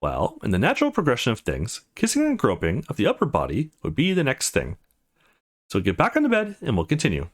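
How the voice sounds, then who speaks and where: A man's voice: mid-range voice, huge pitch swings, fairly quick. A man voicing the self-assured male protagonist of a visual novel, reading his thoughts and lines casually and expressively. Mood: bossy. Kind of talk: reading game narration and dialogue.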